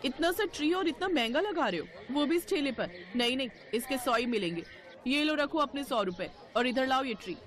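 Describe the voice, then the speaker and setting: A woman as a haughty, high-pitched young wife. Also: expressive, a little fast, fairly bright tone. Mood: haughty, scolding. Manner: haughty, taunting. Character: boastful, snobbish younger daughter-in-law, haughty and sharp-tongued.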